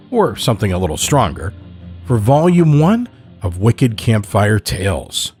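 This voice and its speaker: A man hosting a horror fiction podcast and reading its stories in a measured, dramatic way. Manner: measured, dramatic storytelling with lively pitch. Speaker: podcast host and narrator. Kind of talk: scripted horror narration.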